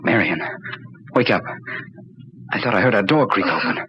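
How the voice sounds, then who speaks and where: Actor as a low-voiced, jittery radio-drama husband. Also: male voice, animated, moderate pace, natural voice. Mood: uneasy, irritated. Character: jittery, doubtful young husband, easily spooked at night. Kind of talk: old-time radio drama acting. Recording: dull, noisy old radio recording.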